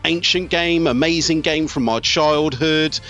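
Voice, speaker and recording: A man's voice: on the high side, a little slow, fairly bright tone. A middle-aged man giving casual let's play commentary on a strategy game, talking conversationally as he thinks aloud. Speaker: video host, gamer. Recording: game music behind the voice.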